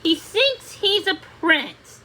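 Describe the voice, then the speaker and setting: Woman voicing a mild high-pitched turtle sidekick. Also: very animated, slow pace, sharp tone, slight background noise, heavily acted. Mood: mild, unsure. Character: mild, friendly male turtle, plain-spoken sidekick.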